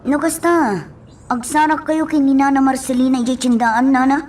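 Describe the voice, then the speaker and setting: A woman's voice: high voice, fairly even intonation, normal speed, slight background noise, natural voice. An actress playing a grieving, vengeful young woman in an emotional Ilocano radio drama. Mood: concerned, everyday. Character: grieving, vengeful young woman longing for her lost friend. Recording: loud music bed under the voice.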